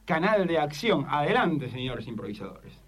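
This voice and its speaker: A male host directs improvisers in a theatrical style. His high voice moves around a lot and comes from a little off the mic, with clear noise. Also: big pitch swings, normal speed, recorded from a distance. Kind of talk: theatrical game-show style hosting.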